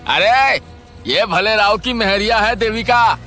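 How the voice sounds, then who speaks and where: Man playing an agitated, high-pitched villager. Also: slow pace, faint music behind, exaggerated voice. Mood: shocked. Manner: loud, indignant, scolding. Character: agitated rustic village man in a horror tale.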